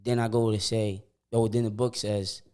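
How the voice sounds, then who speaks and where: A man's voice: lowish voice, moderate pace, smooth. A young man in his twenties co-hosting a podcast in casual chat. He talks in slang, often starts sentences again, and acts out what people said. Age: 20s. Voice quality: repeats words when starting sentences. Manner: animated storytelling, slangy, often acts out quotes. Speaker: podcast co-host.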